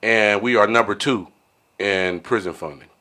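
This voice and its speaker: A man's voice: fairly low pitch, moderate pace, pushed and bright. An American man hosting a casual podcast chat, agreeable and given to repeating short phrases. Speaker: podcast host.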